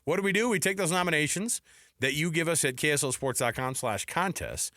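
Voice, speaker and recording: A man's voice: a little high, animated, brisk, sharp tone, dry recording, clean studio sound. A man in his forties or older hosting a sports talk show, bantering casually and repeating himself for emphasis. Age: 40s or older. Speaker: sports radio show host.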